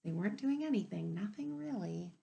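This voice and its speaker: A woman teacher reading a picture book aloud to young children in an expressive, instructive way, pausing to ask questions. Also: mid-range voice, creaky, lo-fi sound. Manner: animated, instructive, asking the listeners questions.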